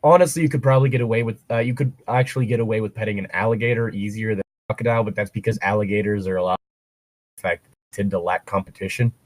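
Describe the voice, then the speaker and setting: A man's voice: on the low side, steady tone, fast talker, no room sound, rough recording. Joking man reading aloud, close dry sound. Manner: joking, breaks off to comment. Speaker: co-host reading fan fiction aloud. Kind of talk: comedic read-aloud with casual commentary.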